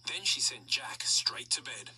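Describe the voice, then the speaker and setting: Lively male storybook narrator. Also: medium pitch, unhurried, in a whisper. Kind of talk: scripted children's storybook narration. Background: music.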